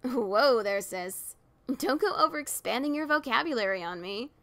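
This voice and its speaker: A woman's voice: on the high side, animated, normal speed, fairly bright tone. A woman voicing a brash, boastful adventurer mare pony with a scratchy voice and a teasing manner. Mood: cocky. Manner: cocky, giggly teasing. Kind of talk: audiobook character reading.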